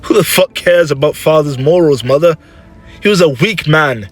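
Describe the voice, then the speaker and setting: A man's voice: mid-range voice, moderate pace, fairly bright tone, husky, soft background music. A man voicing a proud, menacing young warrior son, heated, defiant and scornful, in a dramatic story.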